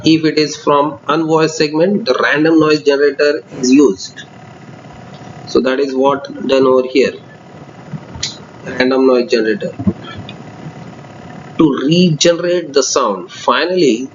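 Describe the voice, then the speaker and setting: A man's voice: fairly high pitch, a little slow, long pauses. Man explaining a block diagram, lo-fi. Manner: reads textbook sentences, then adds loose off-script remarks. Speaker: lecturer. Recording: dull lo-fi recording, faint noise.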